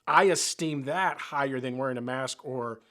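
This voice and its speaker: A man's voice: medium pitch, moderate pace, fairly bright tone. A man co-hosting a casual church podcast, talking earnestly with some joking and thinking aloud. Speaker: podcast co-host.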